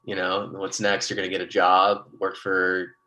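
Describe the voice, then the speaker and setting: A man playing a morose, apologetic dad type in improv comedy, halting and earnest. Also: low voice, monotone, sharp tone. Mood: earnest, bleak. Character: morose, nihilistic, apologetic dad and beer-drinking park guy.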